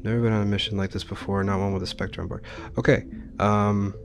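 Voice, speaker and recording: Male gameplay host. Informal, explanatory commentary, fairly low voice, light music underneath. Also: level tone, quick pace. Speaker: Let's Play host.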